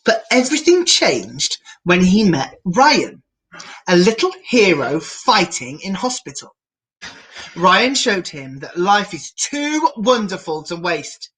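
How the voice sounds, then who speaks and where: A woman's voice: on the low side, big pitch swings, slow pace, a bit bright, poor audio. A woman reading a picture book aloud to children in a theatrical, playful manner, with asides to the viewers. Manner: theatrical, playfully self-mocking. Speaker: children's storyteller, drag queen.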